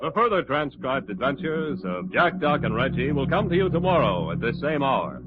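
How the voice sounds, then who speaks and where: A man's voice: medium pitch, big pitch swings, normal speed, heavy background noise. A man with an American accent announcing an old-time radio program from a script. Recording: muffled old radio broadcast. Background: loud music.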